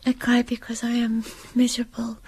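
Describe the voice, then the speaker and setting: A timid ten-year-old orphan girl, played by a woman speaks in soft, hushed, plaintive tones. Her voice sits a little high, as in a radio play, with faint hiss behind. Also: completely flat delivery, brisk, natural voice. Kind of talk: radio drama acting.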